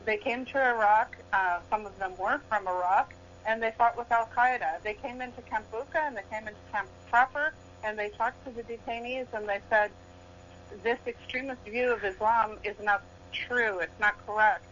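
A woman's voice: fairly high pitch, fairly even intonation, moderate pace, a bit bright, a little hiss or hum. A woman psychologist, a guest in a heated radio debate, firm and indignant as she talks over interruptions. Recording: dull lo-fi radio audio.

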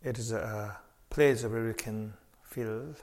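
A man leading a guided meditation as a teacher, speaking in an unhurried, measured way with long pauses. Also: lowish voice, a little mellow.

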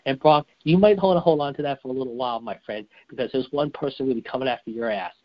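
A hoarse man whose voice is going raspy, co-hosting a wrestling show and giving blunt, heated opinions. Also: mid-range voice, quick pace, highs cut off. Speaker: co-host.

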